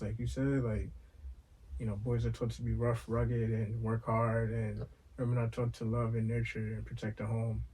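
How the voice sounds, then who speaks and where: A man's voice: mid-range voice, warm tone, a little hiss or hum, rough recording. A man co-hosting a casual podcast, talking in a thoughtful, rambling way, full of "like" and "um". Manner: thoughtful, rambling, heavy on fillers like "like" and "um". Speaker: podcast co-host.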